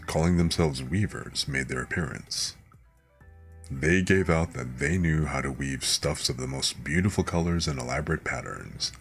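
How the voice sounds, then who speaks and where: Very deep-voiced man, relaxed bedtime reader. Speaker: streamer and bedtime story reader. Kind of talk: relaxed ASMR talk and storybook narration.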